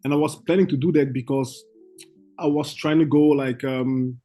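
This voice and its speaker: A young man from Belgium, a guest trader, telling his story in halting English full of fillers and restarts. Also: medium pitch, fairly even intonation, warm tone, faint music behind. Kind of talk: casual interview chat.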